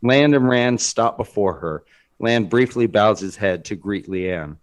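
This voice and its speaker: A man's voice: fairly low pitch, unhurried. A man reading scripted dramatic narration as the narrator of a screenplay.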